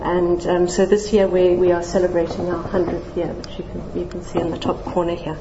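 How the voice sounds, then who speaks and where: A woman's voice: lowish voice, level tone, fairly quick, background noise. A middle-aged woman from Zambia giving a lecture to a general audience in a lecture hall, friendly, with light self-deprecating humor and plenty of ums. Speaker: scientist giving a public lecture. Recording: dull, echoey lecture hall recording.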